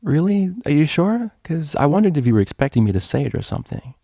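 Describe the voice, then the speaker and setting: A man's voice: fairly high pitch, sing-song, fast talker, mellow, no echo, studio quality, voice pushed up. A man voicing a young unicorn DJ filly, cheeky, casual and optimistic, with breezy slangy speech in a story reading. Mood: unsure.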